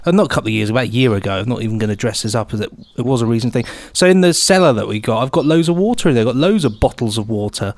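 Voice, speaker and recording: A man's voice: mid-range voice, very fast, few pauses, a little mellow, dry recording. A man chatting casually and reading news headlines as a jokey podcast co-host.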